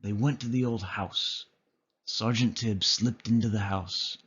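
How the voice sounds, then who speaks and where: A man reading a children's story aloud as storyteller, with expressive narration. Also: lowish voice, a little slow, slightly rough, highs cut off.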